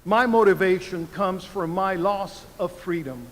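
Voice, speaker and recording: Older Cuban-born man, commencement speaker, high-ish voice, slow deliberate delivery, reverberant arena. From Cuba. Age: 70s. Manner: slow, deliberate oratory with emphatic pauses. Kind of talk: commencement speech. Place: large university arena. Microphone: podium microphone.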